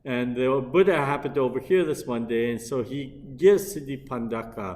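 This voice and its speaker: A middle-aged man giving a Buddhist dharma lecture as a minister, speaking in an unhurried, hesitant way with frequent pauses and restarts. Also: mid-range voice, darkish tone. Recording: long reverb from a hall.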